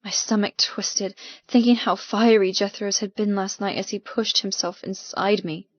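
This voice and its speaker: A woman with a mid-pitched voice reads first-person fiction as an audiobook narrator. Her delivery is dramatic and intense. The recording is very dry and a little dull. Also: steady tone, normal speed, no echo.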